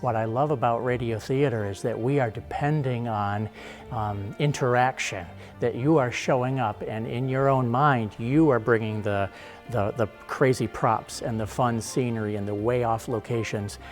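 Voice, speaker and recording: A man who makes radio sound effects, answering an interview in an expressive, wordy way as he searches for phrases. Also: medium pitch, normal speed, faint music behind.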